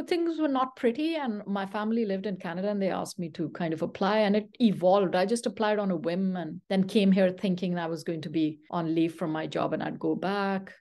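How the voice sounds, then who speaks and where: A woman's voice: lowish voice, mellow. A middle-aged woman from Pakistan, whose first language is Pashto, speaking candidly in an interview as a guest professor. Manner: candid and discursive, frequent restarts. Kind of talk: conversational interview answers. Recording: podcast interview.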